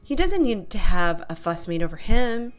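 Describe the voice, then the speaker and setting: A woman's voice: medium pitch, very animated, moderate pace, faint music behind, lightly acted. A woman voicing a grumbling, stubborn adult male papa bear in a children's storybook, blustery and insistent. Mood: dismissive.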